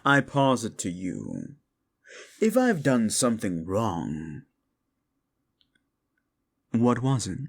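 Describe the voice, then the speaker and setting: A deep, gravelly demon, played by a man, drawls his words very slowly. His pitch swoops from hushed growls to sharp, high scoffs as he mocks and curses at the listener. It is a sneering audio-roleplay fiend who takes nothing seriously. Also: wildly swooping pitch, dry recording, exaggerated voice. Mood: scornful. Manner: mocking, profane, taunting. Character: scornful, sneering adult male demon.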